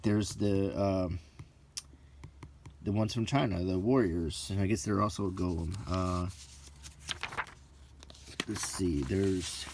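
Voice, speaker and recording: A middle-aged man talks in a fairly low voice at a very unhurried pace. He gives casual commentary in short, halting phrases, musing aloud as he browses. There is some background noise with small clicks. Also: a little mellow. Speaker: host of a hobby channel. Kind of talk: casual unscripted commentary while leafing through a book.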